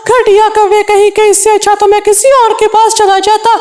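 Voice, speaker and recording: A woman's voice: extremely high pitch, little pitch movement, normal speed, darkish tone. A woman voicing a homeless male cartoon parrot, polite, earnest and plaintive in a children's story. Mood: indignant. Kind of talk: Hindi children's cartoon dialogue.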